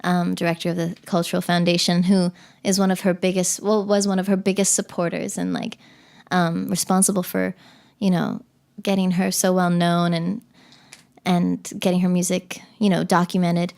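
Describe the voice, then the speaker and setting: A woman's voice: fairly low pitch, little pitch movement, normal speed, gravelly, a little mellow. Chatty woman from the Cayman Islands. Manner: chatty, many fillers and restarts. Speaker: podcast guest. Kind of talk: casual interview chat.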